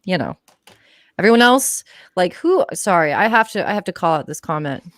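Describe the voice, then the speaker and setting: A woman's voice: lowish voice, very animated, normal speed, dry recording, studio quality. A woman co-commentating on a livestream in casual chat, with a wry, teasing way of talking.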